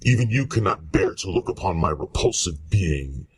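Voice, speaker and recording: A man's voice: sits low, very animated, slightly rough, no echo, full character voice. A man playing a bitter, lonely monster, speaking in a solemn, grandiose way in a radio horror drama. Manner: solemn, grandiose, deliberate delivery. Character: lonely, bitter male giant monster, loathing and pleading. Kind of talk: old-time radio horror acting.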